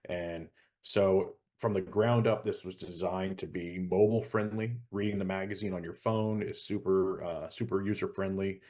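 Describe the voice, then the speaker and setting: A man's voice: low-pitched, steady tone, moderate pace, dark tone. A middle-aged man co-hosting a show, explaining things in an earnest, conversational way. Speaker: show co-host.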